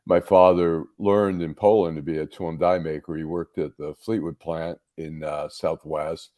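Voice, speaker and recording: A man's voice: rumbling low, flat delivery, moderate pace, a little mellow, no room sound, studio quality. A middle-aged man from the Detroit area, co-hosting a casual podcast and telling stories with lots of restarts. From Detroit area, Michigan. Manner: rambling, many restarts and fillers. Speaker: co-host.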